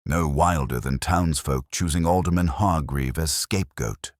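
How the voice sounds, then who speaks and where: A man voicing a calm, unhurried, gently authoritative police inspector in a mystery audiobook. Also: rumbling low, no room sound, clean studio sound. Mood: soft, reflective. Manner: calm, measured, philosophical. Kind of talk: mystery audiobook character dialogue.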